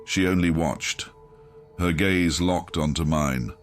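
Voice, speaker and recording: A man's voice: low voice, takes it slowly, faint music behind. A man narrating a grimdark horror audiobook in a grave, brooding, dramatic first-person manner.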